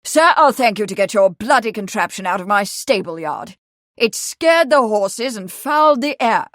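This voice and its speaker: A woman's voice: medium pitch, brisk, slightly rough, no echo, close to an everyday voice. A woman acts out a formidable older lord in a period audiobook. He is irascible and imperious, biting off his words, recorded close and dry. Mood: thundering anger. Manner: thundering, snapping, imperious. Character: formidable, irascible aristocratic father, older man.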